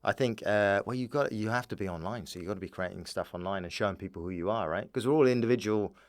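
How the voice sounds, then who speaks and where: A man, a stand-up comedian guesting on a casual podcast, talking in an animated, rambling way with repeated false starts. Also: fairly low pitch, fast talker, dry recording, clean studio sound.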